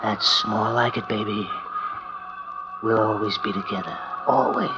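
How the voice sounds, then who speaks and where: A man's voice: on the low side, fairly even intonation, a little slow, loud music behind the voice, natural voice. A man playing a lover in old-time radio melodrama, with dramatic, urgent acting. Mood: tender. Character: alarmed male lover fleeing a collapsing house. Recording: echoey muffled old radio, very noisy.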